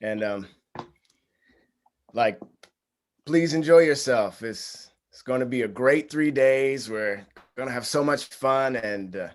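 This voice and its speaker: A man playing an agreeable, quietly unsure groom in a screenplay table read, halting and sincere. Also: mid-range voice, natural voice. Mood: humbly grateful.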